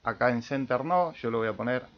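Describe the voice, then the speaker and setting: A man with an Argentine Spanish accent giving an informal software tutorial, thinking aloud as he demonstrates. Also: mid-range voice, brisk, lo-fi sound. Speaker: amateur radio video tutorial host.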